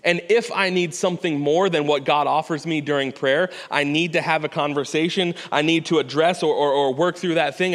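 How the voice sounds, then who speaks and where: A man preaching a sermon as pastor, earnest and conversational, often restarting phrases and checking in with "right?". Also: fairly high pitch. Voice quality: loud voice. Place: church sanctuary. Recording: hall reverb.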